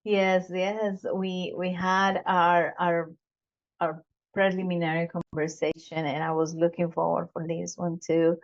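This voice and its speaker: A woman hosting a podcast interview, speaking deliberately with some fillers and repeats. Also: fairly low pitch, little pitch movement. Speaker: podcast host. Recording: dull lo-fi sound.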